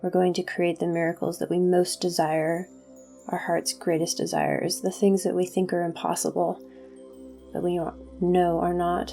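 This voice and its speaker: A woman hosting a guided meditation, introducing it as spiritual talk in deliberate, measured phrases. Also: on the low side, vocal fry, warm tone.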